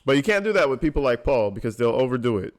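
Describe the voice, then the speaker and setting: A man's voice: mid-range voice, big pitch swings, very fast. A man giving casual, blunt reaction commentary, slangy and full of swearing. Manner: blunt, informal, conversational. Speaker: commentator host reacting to a jail call recording.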